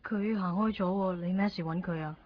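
An actress playing an anxious, sentimental young shopkeeper with a Hong Kong Cantonese accent in a radio drama. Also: female voice, fairly low pitch, fairly even intonation, brisk, close to an everyday voice. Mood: hesitant, shy. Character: anxious, sentimental young woman shopkeeper named Chui-bik. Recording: dull 1990s radio, loud music behind. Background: music.

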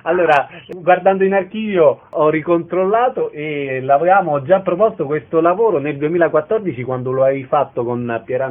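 A man hosting a radio interview in a conversational style, putting questions and remarks to his guest. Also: on the high side. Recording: thin phone-like radio sound.

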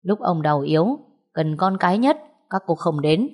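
A calm young husband from an audio story, steady and quietly stern, read by a woman in a lowish voice. He speaks evenly and never rushes. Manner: even, calm, firm.

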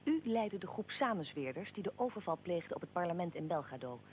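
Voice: medium pitch, sing-song, brisk, slight background noise, lightly acted. A performer playing a probing, well-prepared interviewer and narrator in a historical radio drama, formal and articulate. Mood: probing, factual.

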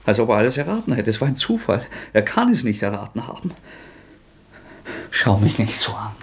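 An actor playing a tormented young murderer in a radio play, feverish and bitter, swinging between scorn and despair. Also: male voice, mid-range voice, very animated, fairly quick, slight background noise.

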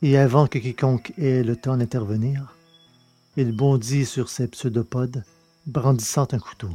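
A man narrates a novel in a measured, deliberate way. His voice is mellow and dark-toned, set at an average pitch for a man. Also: steady tone, husky, no room sound, soft background music. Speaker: audiobook reader. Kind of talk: scripted narration of a science-fiction novel.

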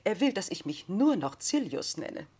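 An actress in a radio play playing a desperate, self-dramatising remarried widow, husky, solemn and insistent. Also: female voice, mid-range voice, very animated, normal speed, darkish tone. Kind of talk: German radio play acting.

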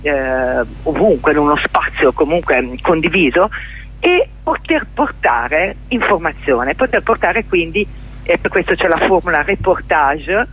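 Middle-aged woman, husky, radio interview guest, halting and reflective, emphatic repetition, muffled lo-fi phone sound. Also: low-pitched, lively intonation, fairly bright tone. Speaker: interview guest, theatre journalist and performer. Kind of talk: explanatory radio interview talk.

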